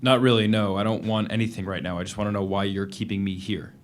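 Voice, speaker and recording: A man acting a guarded prisoner, low-voiced. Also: fairly even intonation, quick pace, slight background noise, natural voice. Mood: guarded, tense. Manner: plain, guarded, terse storytelling in present tense. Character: guarded, defiant American male prisoner keeping a journal. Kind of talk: dramatic reading of novel narration and dialogue.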